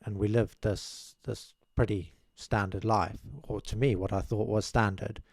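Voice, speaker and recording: A young man from South Africa, speaking as a guest in a small studio. He talks in a modest, rambling way with many restarts. His voice sits low and close, with a dry, dead sound at a desk mic. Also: little pitch movement, measured pace, darkish tone, dry recording. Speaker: podcast guest. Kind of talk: casual interview chat. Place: small studio room with curtains. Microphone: desk mic on boom arm, headphones.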